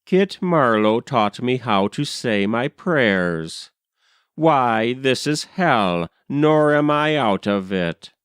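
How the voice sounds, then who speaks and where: A man reads poetry aloud in a smooth, clean voice with a lively, varied melody. He phrases each line deliberately, leaving pauses as he goes, and takes his time throughout. The sound is close and dry. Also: medium pitch, no room sound. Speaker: poetry reader. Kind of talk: literary poetry recitation.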